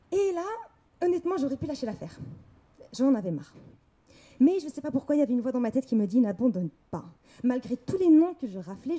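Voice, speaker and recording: A woman's voice: on the high side, mellow. A young woman from Morocco giving a candid personal talk on stage, telling her story with laughter and quoted voices. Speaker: speaker. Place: event hall.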